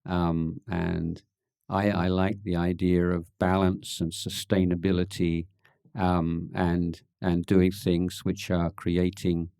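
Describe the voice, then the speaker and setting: A man, a Buddhist monk, answering questions at a meditation retreat in a thoughtful, halting way, with dry gentle humor and many pauses and ums. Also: low-pitched, level tone, gravelly, clean tone, no room sound. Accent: English. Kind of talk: relaxed Dhamma Q&A talk.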